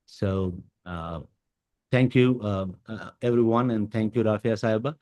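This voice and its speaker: A man whose first language is Urdu gives an educational talk to teachers, with many pauses and fillers. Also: on the low side, steady tone, a little mellow. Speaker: guest speaker.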